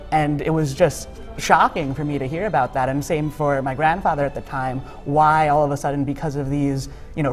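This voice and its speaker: A young man from the United States speaks as an interview guest in a slightly high voice. His talk is relaxed and conversational, full of filler words, with faint music underneath. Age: young adult.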